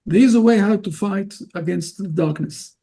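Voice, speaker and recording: Gravelly high-pitched man, halting English. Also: warm tone. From Israel. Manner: halting non-native English with frequent fillers. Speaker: rabbi. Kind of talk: interview guest.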